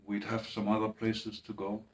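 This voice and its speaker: A man speaking in a halting, hesitant way, with restarts and long pauses, in a personal monologue. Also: fairly low pitch, completely flat delivery, low-quality recording. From Greenland. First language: Danish.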